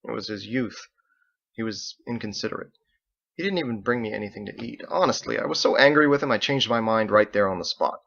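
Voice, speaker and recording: An earnest paladin leader for a storybook, played by a male reader. He speaks formally and courteously, with firm resolve, in a fairly low voice. The recording is slightly dull and lo-fi. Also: expressive, vocal fry, a bit bright, heavily acted. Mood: confiding. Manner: formal, measured, courteous phrasing.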